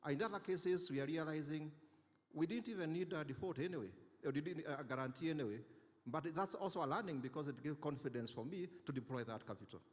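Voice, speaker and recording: A man on a conference panel giving a careful, deliberate answer, often repeating words as he thinks. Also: a little high. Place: conference hall. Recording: long hall reverb.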